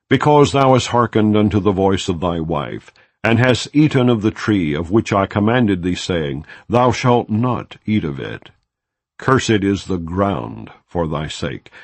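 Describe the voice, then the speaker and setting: Man reading scripture in a lively low voice. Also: no echo. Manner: measured, solemn recitation. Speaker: Bible reader.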